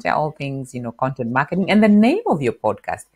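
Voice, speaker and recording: A middle-aged woman from Kenya, a podcast guest, explaining marketing in an animated interview on a lapel mic in a home office. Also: a bass voice, fairly quick, husky. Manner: animated, gestures while explaining. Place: bright home office with bookshelf.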